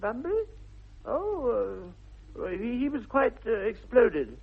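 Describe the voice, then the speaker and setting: A flippant young upper-class English gentleman in a radio comedy, stammering evasively. His pitch jumps about in a slightly husky voice. The recording is narrow and old-fashioned. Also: sing-song, slow pace, full character voice. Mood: flustered. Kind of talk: 1960s radio comedy acting. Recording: tinny, band-limited vintage radio recording.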